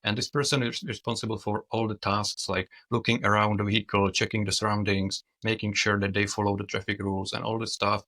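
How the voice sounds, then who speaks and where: A low, gravelly man from the Czech Republic talks as a guest over a video call. His voice is bright but flat in pitch, and he keeps restarting words as he explains. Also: brisk, hardly any pauses. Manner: hesitant, frequent restarts and fillers. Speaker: guest, autonomous vehicle expert. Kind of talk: conversational interview.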